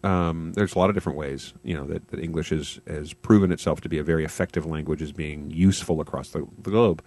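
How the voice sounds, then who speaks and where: A man from Canada in casual conversation, animated and often stumbling over or repeating his words. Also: rumbling low, fast talker, dry recording.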